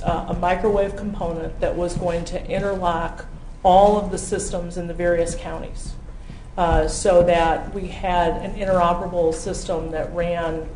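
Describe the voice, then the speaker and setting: Flat-voiced American woman, halting, echoey room. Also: fairly low pitch. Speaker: county official introducing a presentation. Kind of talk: informal public meeting briefing. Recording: echoey room with background noise.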